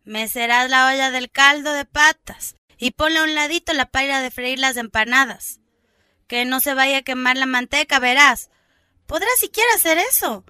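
A woman's voice: sits high, sharp tone, clean tone, no echo, clean studio sound. A woman playing a bossy, nagging food-stall owner in a radio drama, with an Ecuadorian accent. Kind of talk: amateur radio drama acting.

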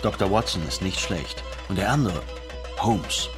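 A man's voice: low voice, a little slow. An actor playing an urbane husband and father with a dry, conversational manner in a radio drama. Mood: admiring. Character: urbane husband and father, dryly amused hotel guest. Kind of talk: scripted radio drama dialogue. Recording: studio radio drama with music.

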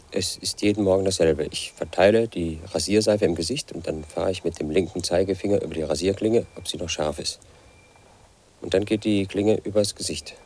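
Lead in a radio drama: an obsessive, paranoid 38-year-old loner, deep-voiced. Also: male voice, darkish tone, breathy edge. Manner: pedantic, confiding, obsessed with statistics and codes. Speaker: lead actor in a radio play. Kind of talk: first-person radio drama monologue.

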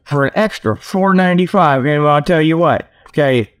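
Male comedy radio host, slightly higher register, folksy and joking, loose off-the-cuff monologue with tongue-in-cheek salesman patter. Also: moderate pace. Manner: folksy, joking, chuckling at own jokes; repeats "okay" as a verbal tag. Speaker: solo host of a comedy internet radio show. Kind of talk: rambling casual monologue with mock sales pitches.

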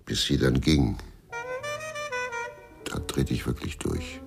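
An actor playing a stubborn, embittered, hard-drinking former policeman in a radio crime drama, blunt and confrontational, with a husky, gravelly voice. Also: very deep voice, expressive, slow, over loud music, full character voice. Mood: bitter, brooding.

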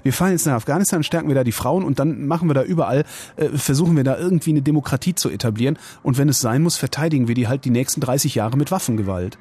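A man's voice: mid-range voice, very fast, mellow, slightly rough. A man hosting a late-night radio call-in show, musing aloud and throwing out provocative, opinionated questions. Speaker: radio host.

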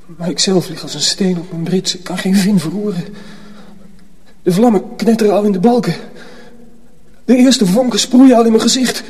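Radio drama role: defiant young mill lad, high husky voice, aggrieved and complaining. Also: male voice, moderate pace, long pauses, a little mellow. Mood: alarmed. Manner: indignant, complaining. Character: resentful young male mill apprentice, teenage and defiant. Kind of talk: Dutch radio drama acting.

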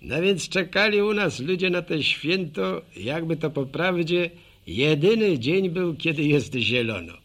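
An actor playing a folksy older village man with a rural Polish accent, telling a wry, rambling radio drama monologue in a gravelly voice. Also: fairly high pitch, lively intonation, measured pace, fairly bright tone, exaggerated voice. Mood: wry, amused.

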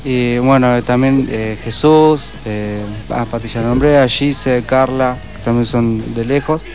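A man's voice: medium pitch, fairly even intonation, measured pace. Argentine man chatting over background music. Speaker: band member, interview guest. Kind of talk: casual chat, giving shout-outs.

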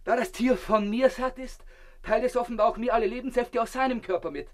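A high-voiced, self-satisfied gentleman in a radio play. He lectures with pompous, theatrical delight and blissful vanity about himself. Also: a little fast. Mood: grandly self-satisfied. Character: self-important man swallowed alive by a crocodile, blissfully vain.

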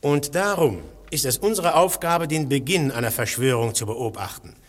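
An actor playing a calm, wry undercover detective in a radio drama. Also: male voice, medium pitch, moderate pace, close to an everyday voice. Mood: explaining.

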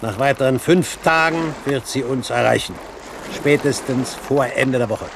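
An actor playing a shrewd older pirate captain, grand and wry in a 1970s German radio drama. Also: male voice, mid-range voice, a little slow, vocal fry. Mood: confident, commanding. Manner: grand, wry, authoritative, given to mild curses. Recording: 1970s radio play, background noise.

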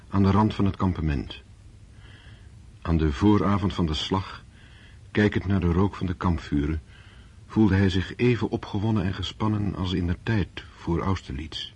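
An actor narrating an epic war story for a radio drama, in a solemn, scripted style with a husky voice. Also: male voice, low voice, a little mellow.

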